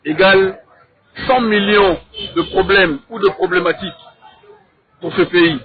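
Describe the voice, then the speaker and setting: A man with a Congolese accent debating in a measured, didactic way, in short deliberate phrases and with rhetorical questions. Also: high voice, breathy edge. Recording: thin, phone-like narrow sound.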